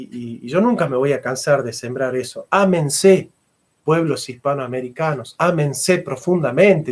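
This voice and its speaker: Fervent lecturer, middle-aged man, a touch high-pitched, hammers his points home by repeating them. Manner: fervent, emphatic, repeats phrases for stress. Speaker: philosopher and history teacher. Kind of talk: impassioned lecture.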